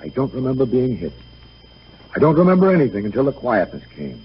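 An actor playing a young dead soldier son in a 1930s radio drama, breezy and gentle, speaking in a boyish, coaxing, informal way. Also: male voice, mid-range voice, wildly swooping pitch, fairly quick, husky, heavily acted. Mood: calm, reflective. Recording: muffled, noisy 1938 radio broadcast.

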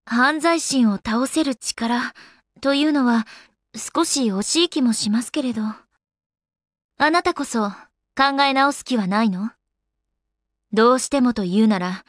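Casting: actress for refined, playful young goddess in an anime game, high voice, genteel diction, very dry close sound. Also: female voice, measured pace, long pauses, dry recording, natural voice. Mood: firm. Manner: polite, ladylike, genteel phrasing. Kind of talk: Japanese anime game voice acting.

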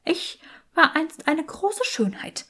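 A woman's voice: very high voice, big pitch swings, slow pace, a bit bright, pitched above the performer's own voice, full character voice. A woman voicing a vain, eerie old female talking skull in an audiobook, theatrical and haughty.

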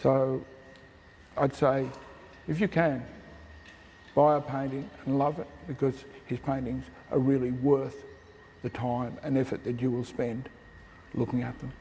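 A man's voice: mid-range voice, level tone, unhurried, warm tone. An older man giving an informal speech as a guest at an event. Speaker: guest speaker. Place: large indoor gallery hall, audience present. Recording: noisy echoing hall.

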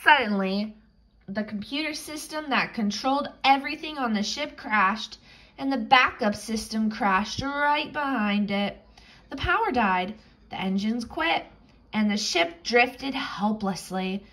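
A woman reading a children's picture book aloud, with expressive, suspenseful storytelling. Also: fairly high pitch, a little slow, rough recording. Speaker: storybook reader.

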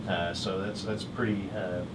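A middle-aged man casually presenting products as a dealer, with a halting, hesitant delivery. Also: sits low, steady tone. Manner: halting, hesitant delivery with frequent long pauses. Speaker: hi-fi dealer exhibiting at a trade show. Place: hotel room at an audio show, indoors. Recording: distant mic, clearly noisy room.

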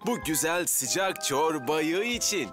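A man's voice: on the high side, big pitch swings, slow, fairly bright tone, faint music behind, full character voice. A man voicing a dopey, well-meaning fireman in a children's cartoon dub. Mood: soothing.

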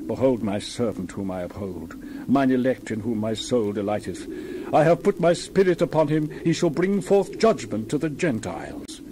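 A man's voice: mid-range voice, lively intonation, moderate pace, background noise. A man giving a dramatized Bible reading as narrator, solemn and declamatory with dramatic emphasis.

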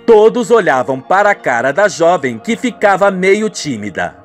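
A male narrator of a radio soap. His voice sits high as he reads the scripted narration, with music playing behind him. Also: sing-song, moderate pace.

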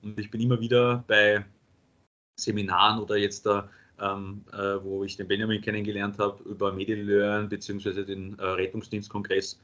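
A paramedic lecturer with an Austrian accent presenting a webinar, pausing often with fillers. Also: male voice, lowish voice, monotone, a bit bright. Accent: Austrian German. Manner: halting, many filler sounds. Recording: dull lo-fi webinar audio.

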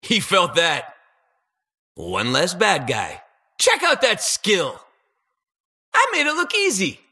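Excitable nerdy toy inventor game hero, bright forceful voice, swings up and down a lot, kinda anxious. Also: male voice, sits high, slow, slightly rough, full character voice. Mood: boastful, upbeat. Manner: excitable, slightly awkward, boastful. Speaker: voice actor for a video game hero. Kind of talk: video game battle voice lines.

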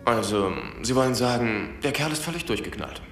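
Man playing a composed wry hero, mid pitch, radio play. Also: a little fast, roomy sound, faint music behind, close to an everyday voice. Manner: calm, dry-humored.

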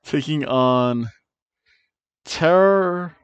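Slow, playful man on a headset mic. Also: fairly high pitch, lively intonation, clear, resonant tone, dry recording. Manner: playful, rolls R's on wrestler names, hums dramatic tunes. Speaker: wrestling review host. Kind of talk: casual predictions chat to camera. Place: small home room with bookshelves, indoors. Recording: dull lo-fi dry sound.

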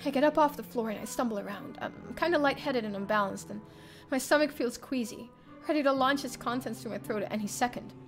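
A young woman streamer reading a visual novel aloud in the first person, expressive and theatrical. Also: fairly high pitch, fairly quick. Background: game music.

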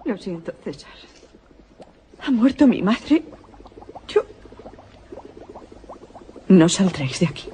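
An actress playing an anguished young daughter in suspense radio theatre, plaintive and melodramatic. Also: female voice, mid-range voice, sing-song, slow pace, long pauses, warm tone, a little hiss or hum. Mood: grieving, resolute.

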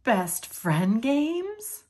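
A woman's voice: high voice, very animated, extremely slow, a little mellow, exaggerated voice. A woman voicing an anxious, dramatic male elephant in a picture-book story, given to exclaiming. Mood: worried.

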